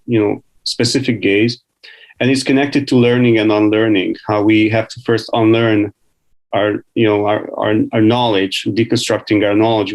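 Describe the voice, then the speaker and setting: A man's voice: lowish voice, low-quality recording. Man moderating a panel. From Hungary. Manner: thoughtful, searching for words. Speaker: academic researcher, panel moderator. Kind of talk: panel conversation.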